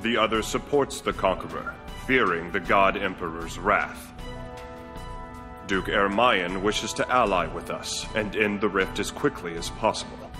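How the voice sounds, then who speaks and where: A grave, low-voiced warrior advisor. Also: male voice. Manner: formal, measured, explanatory. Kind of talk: video game cutscene acting. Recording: hall reverb, music behind.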